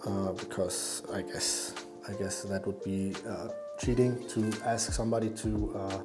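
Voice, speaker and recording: A man with a Danish accent giving a casual hands-on tutorial, haltingly thinking aloud as he works. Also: lowish voice, fairly even intonation, over loud music. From Denmark. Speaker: fly tying instructor and YouTuber.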